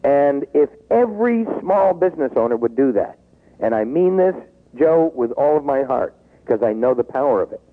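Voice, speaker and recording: A man in his sixties talking in a taped interview, acting out quoted pleas and dropping low when he turns reflective. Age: 60s. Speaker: business author guest. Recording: tinny phone-like tape.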